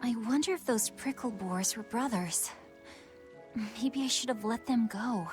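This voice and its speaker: Woman voicing a spirited, high-voiced teenage village girl. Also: a little slow, music in the background, close to an everyday voice. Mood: pensive. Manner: expressive, earnest. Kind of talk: video game voice acting.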